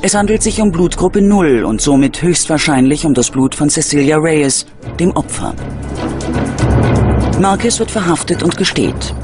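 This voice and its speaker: A male documentary narrator reads a scripted crime story with dramatic, measured delivery. His voice sits a little high and moves widely in pitch. Clear music plays behind him. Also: animated. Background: background music.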